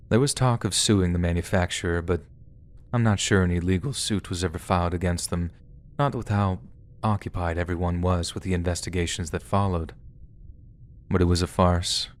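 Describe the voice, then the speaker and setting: A man reading true horror stories aloud in a steady, measured first-person narration. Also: deep voice.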